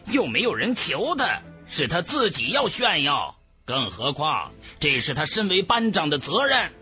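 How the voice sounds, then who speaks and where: A man's voice: a little high, wildly swooping pitch, a little slow, sharp tone, soft background music, heavily acted. A man voicing a pushy, gloating young male rival in an audiobook, with a sneering, provoking manner.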